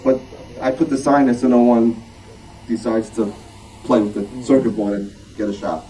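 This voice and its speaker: A man's voice: medium pitch, steady tone, normal speed, warm tone. A man speaking informally to a club at show and tell, rambling and self-deprecating in a conversational way. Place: club meeting room. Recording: distant mic, noisy room.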